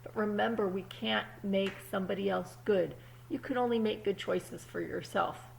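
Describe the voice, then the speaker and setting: A woman's voice: medium pitch, fairly even intonation, moderate pace, gravelly, low-quality recording. A woman reading a picture book aloud to young children, speaking to them directly in an instructive, teacherly way. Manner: instructive, addresses children directly, checking in with questions.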